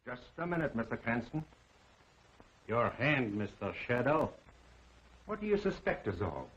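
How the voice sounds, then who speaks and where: Foreign villain, insistent, menacing, 1930s film acting, animated pitch, dull old recording. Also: male voice, a little slow, slight background noise. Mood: coolly menacing.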